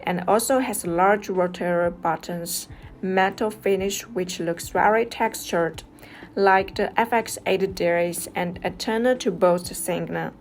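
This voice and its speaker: A woman's voice: on the low side, measured pace, a little hiss or hum. A woman narrating a scripted product review step by step as the reviewer. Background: music.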